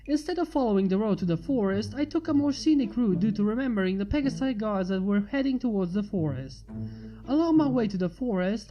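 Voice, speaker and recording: A woman's voice: fairly high pitch, mellow, low-quality recording. A woman reading a scripted story aloud as its narrator. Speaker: fan fiction reader. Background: music.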